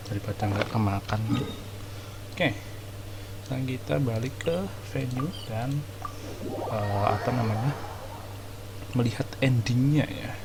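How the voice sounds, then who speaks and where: A man's voice: medium pitch, moderate pace, long pauses, very noisy. A man giving casual, slangy, amused commentary as he streams a game. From Indonesia. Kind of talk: casual live gameplay commentary. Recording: game music under the voice.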